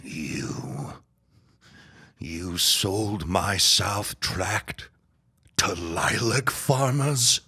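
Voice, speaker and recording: An actor playing a dying, bitter old reverend in a period audio drama, raspy and strained, grandly accusing. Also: male voice, low-pitched, animated, full character voice. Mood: weak, outraged. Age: older. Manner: slow, forceful, grandly accusing. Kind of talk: period radio drama acting.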